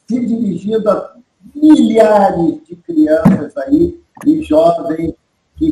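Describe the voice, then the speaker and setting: A man hosting an online interview, asking questions in a halting, rephrasing way. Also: high voice, creaky, dark tone, reverberant, low-quality recording. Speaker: host.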